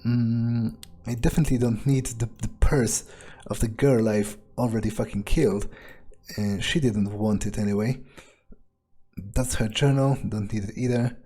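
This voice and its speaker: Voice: mid-range voice, steady tone, normal speed, mellow. A person thinking aloud in character as a scheming, amoral vampire in a game playthrough, hesitant and casually profane. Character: scheming, amoral vampire musing on own plans. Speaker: player narrating a roleplay game playthrough in character.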